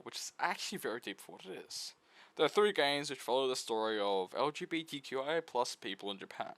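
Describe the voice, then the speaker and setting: Man reviewing games with very animated pitch. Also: dry recording. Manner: irreverent, flippant asides. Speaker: video essayist. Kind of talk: casual video essay review of visual novels.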